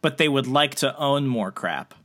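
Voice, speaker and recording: A man's voice: mid-range voice, lively intonation, a bit bright. A man co-hosting a podcast in casual chat, blunt and joking as he trades quips with his co-host. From Puerto Rican family. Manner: blunt, joking, quick to banter.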